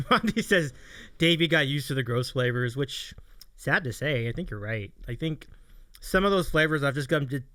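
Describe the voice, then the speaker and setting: A man's voice: medium pitch, a bit bright, dry recording. A man hosting a livestream interview show, chatting casually in an appreciative, encouraging way. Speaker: host of a streaming interview show.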